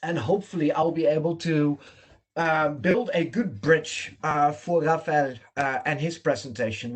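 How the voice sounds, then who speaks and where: A man from the Netherlands with a Dutch accent, presenting an online training session over a video call in an earnest, emphatic way. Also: a little high.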